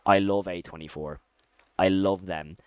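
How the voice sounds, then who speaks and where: A man's voice: sits low, fairly even intonation, measured pace, a little mellow, clear, resonant tone, no echo. A man giving casual, opinionated commentary as a video host, talking in an informal, slangy and enthusiastic way. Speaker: YouTube host ranking horror series.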